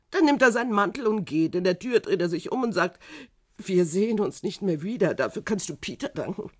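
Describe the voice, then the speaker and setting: Angry wife in a radio thriller, sharp tongue, bit raspy, very animated. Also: female voice, mid-range voice, no echo, studio quality. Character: angry, forceful betrayed wife, a big proud woman. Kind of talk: German radio crime drama acting.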